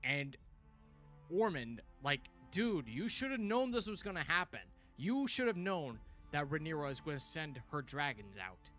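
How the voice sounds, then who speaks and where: A man giving casual, exclamatory fan commentary as a livestream host, his voice tiring as he goes. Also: mid-range voice. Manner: animated, exclamatory, informal. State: voice tiring, frequent throat clearing. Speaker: livestream host reviewing a TV series.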